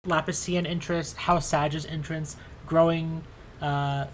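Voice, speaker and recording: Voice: medium pitch, little pitch movement, unhurried, rough recording. Game master talking casually, faint noise. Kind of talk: casual tabletop game narration.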